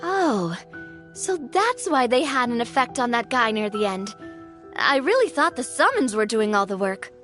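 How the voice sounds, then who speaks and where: A woman's voice: a little high, sing-song, normal speed, music in the background. A woman playing a casual, teasing young female adventurer in a video game. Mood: realizing.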